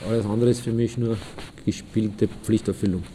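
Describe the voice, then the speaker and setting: A man's voice: on the low side, little pitch movement, normal speed, background noise, close to an everyday voice. An actor playing a matter-of-fact, philosophizing man who gives reflective opinions in an interview-style radio drama. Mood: opinionated.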